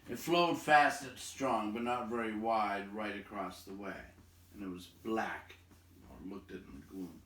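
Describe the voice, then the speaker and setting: Slow, deep-voiced man reading in an echoey room. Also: fairly bright tone. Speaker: storybook reader. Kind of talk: dramatic read-aloud narration of a fantasy novel. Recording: roomy echo, distant microphone.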